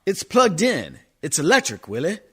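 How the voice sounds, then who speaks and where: Man playing a husky, wildly animated agent. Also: a little high, normal speed, full character voice. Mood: giddy, showy. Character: brash, excitable talent agent obsessed with cool. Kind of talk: comic radio play acting.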